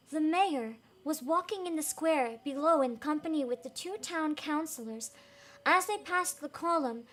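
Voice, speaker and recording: Woman, high voice, slow pace, storybook reading, emotive, casual live host. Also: fairly bright tone. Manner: emotive, giggly, interjects reactions. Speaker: VTuber host reading fairy tales.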